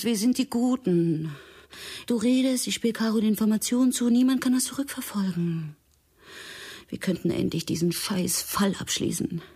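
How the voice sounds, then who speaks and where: A driven female detective in a radio crime drama. Her voice is a little low and breathy. She is direct and impatient, pressing her point with reproach. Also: a little mellow.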